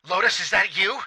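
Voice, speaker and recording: Guy doing an irritated sweary caller in a tabletop game, high creaky voice, super impatient, music behind. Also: sharp tone, full character voice. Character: irritated, foul-mouthed, urgent caller named Everai on a phone. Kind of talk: tabletop roleplay acting.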